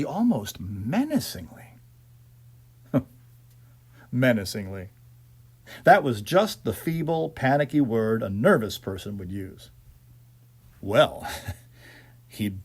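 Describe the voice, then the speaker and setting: Guy reading a suspense story, slow n dramatic, pitch goes all over. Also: breathy edge. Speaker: audiobook narrator.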